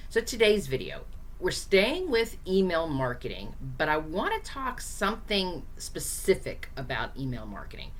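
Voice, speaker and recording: A woman with a low, hoarse voice walks small business owners through marketing ideas. She talks straight to the listener in an easygoing, encouraging way and often fills pauses with casual asides. A faint hiss sits behind her. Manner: chatty, direct, encouraging, with frequent fillers. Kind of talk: casual teaching to camera.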